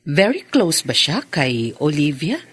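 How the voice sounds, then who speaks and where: A woman's voice: sits low, very animated, measured pace, breathy edge, heavily acted. An actress playing a troubled new wife and stepmother in a Filipino radio drama. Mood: uneasy.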